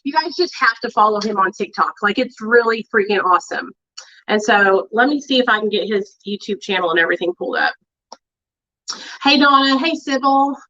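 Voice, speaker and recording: A woman hosting a casual livestream, chatting in an excited way with plenty of filler words. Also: mid-range voice, fairly quick. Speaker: livestream host. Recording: muffled lo-fi livestream audio.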